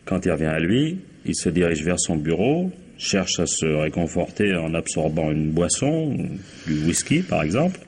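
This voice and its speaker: Low-voiced, sardonic police inspector. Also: male voice, very animated, normal speed, hardly any pauses, darkish tone, slight background noise. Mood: assured, deliberate. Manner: brusque, ironic, authoritative. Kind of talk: French radio crime drama acting.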